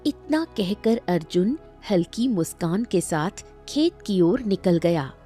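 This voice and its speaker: A woman's voice: medium pitch, animated, slow, warm tone, soft background music. A woman giving scripted narration as the storyteller of a tale.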